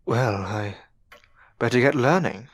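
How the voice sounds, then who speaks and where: A man's voice: medium pitch, slow pace. Man acting a male apprentice magician, self-doubting, desperate, formal old-fashioned wording, audio drama. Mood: subdued. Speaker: voice actor in an amateur audio drama.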